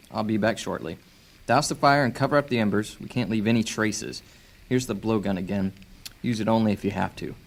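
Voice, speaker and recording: A man acts out a sly thief in a fantasy audio drama. His voice sits fairly low and is strongly gravelly. He talks in a cocky, sardonic way, often muttering as if to himself. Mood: brisk, cocky.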